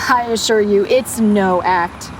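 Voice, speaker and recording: A woman playing a sharp, defiant young researcher in a horror audio drama, speaking formally, directly and challengingly. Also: mid-range voice, takes it slowly, background noise, natural voice. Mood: sardonic, challenging.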